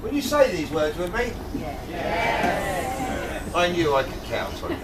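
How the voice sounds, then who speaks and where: A man's voice: high-pitched, big pitch swings, slow pace, full character voice. A man playing a forgetful, jokey pantomime king, coaxing and bantering with the audience in a theatrical way. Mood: coaxing, playful. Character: forgetful, jokey pantomime king fussing over his rose. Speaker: amateur pantomime actor. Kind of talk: live pantomime, addressing the audience. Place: indoor tavern stage with live audience. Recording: echoey hall from far off, audience noise.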